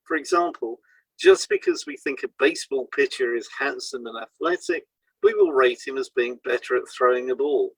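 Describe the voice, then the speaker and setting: A man co-hosting an educational podcast, reading scripted explanations in a measured, explanatory way. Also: medium pitch, very animated, slightly rough, no room sound, rough recording. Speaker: podcast co-host and hypnotherapist.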